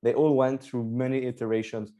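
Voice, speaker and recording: A man's voice: medium pitch, flat delivery, brisk, dark tone. A young man from Belgium, co-founder of a startup, chatting as a podcast guest, upbeat and explaining at length with fillers. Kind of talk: casual podcast interview.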